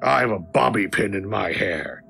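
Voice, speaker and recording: An actor playing a sly chained prisoner in an audio drama, a gravelly tempter who bargains in a taunting, persuasive way. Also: male voice, lowish voice, moderate pace, pushed and bright, soft background music, full character voice. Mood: taunting, tempting.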